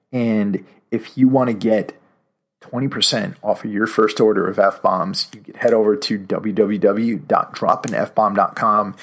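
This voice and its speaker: A man hosting a podcast and chatting in an easy, upbeat way. His voice sits somewhat low and he keeps the tone friendly and loose. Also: fairly bright tone. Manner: upbeat, conversational, loose pitch. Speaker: podcast host. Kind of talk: casual podcast intro and interview.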